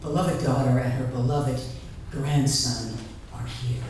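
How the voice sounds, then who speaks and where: A woman giving a live literary reading, deliberate and dramatic, pausing between short phrases. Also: extremely low pitch, fairly even intonation, a little mellow, recorded from a distance. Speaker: author reading at a literary event. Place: indoor reading event before an audience. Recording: echoey room from afar, faint noise.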